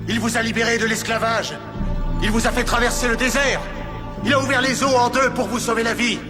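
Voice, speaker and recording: An actor playing a stern, devout male prophet in an animated Bible film, speaking gravely and declaiming. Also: very high-pitched, fairly quick. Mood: stern, reproachful. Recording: music over the voice. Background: music.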